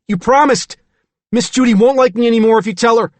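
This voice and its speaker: A man reading an audiobook, voicing a frightened little boy who pleads in a high, urgent voice. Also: fairly quick, a little mellow, no echo. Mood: upset pleading.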